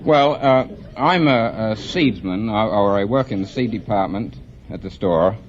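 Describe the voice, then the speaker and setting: A man's voice: on the low side, lively intonation. A man from England answering as a radio quiz contestant, polite and hesitant. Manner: polite, halting. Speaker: quiz contestant, nursery seed worker. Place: radio studio. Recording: muffled old 1950s radio, faint noise.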